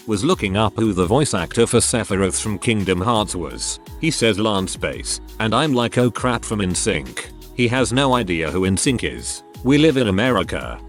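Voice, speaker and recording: A man narrating reader-submitted stories in an even, scripted reading style with dry asides. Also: lowish voice. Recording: faint music bed.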